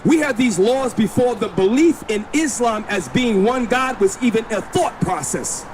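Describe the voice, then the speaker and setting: High-voiced forceful male street preacher. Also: expressive, moderate pace. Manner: forceful, insistent, repeats himself, tells the reader when to read. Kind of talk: street preaching and scripture teaching. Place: outdoors on a city street. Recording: noisy outdoor street recording. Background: street noise.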